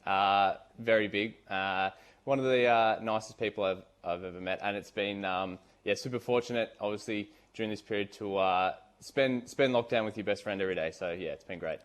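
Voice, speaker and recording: A man's voice: on the low side, normal speed. A young Australian man chatting casually as an interviewed groom, laconic and a little hesitant. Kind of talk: casual chat.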